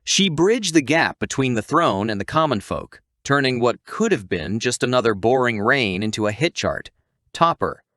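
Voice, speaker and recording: A man's voice: mid-range voice, very animated, moderate pace, a bit bright, no room sound, studio quality. A man reading a scripted recap narration in a wry, tongue-in-cheek storytelling manner.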